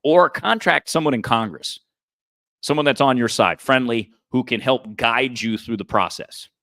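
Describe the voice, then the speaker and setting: A man's voice: medium pitch, normal speed, pushed and bright, dry recording, studio quality. A man co-hosting a podcast, explaining in an earnest, casual political-talk manner. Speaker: podcast co-host.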